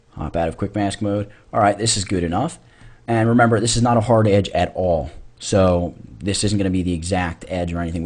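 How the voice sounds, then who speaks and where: Low-voiced husky man teaching casually. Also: a little mellow. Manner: informal, thinking aloud while demonstrating. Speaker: tutorial instructor. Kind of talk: casual software tutorial narration.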